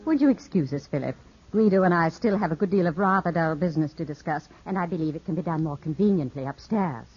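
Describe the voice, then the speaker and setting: A woman's voice: on the low side, fast, faint music behind, lightly acted. A woman playing a charming, teasing, composed lady in a 1950s radio drama, with a genteel, coaxing, theatrical delivery. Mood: smooth, poised. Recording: dull, slightly husky-sounding 1950s radio broadcast.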